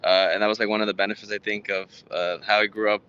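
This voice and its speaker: An American man in his twenties, a native Spanish speaker from Texas, answering interview questions in a halting, rambling way with stammered repeats. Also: lowish voice, level tone, pushed and bright, smooth, dull audio. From Houston, Texas, United States. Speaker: guest, tech startup founder.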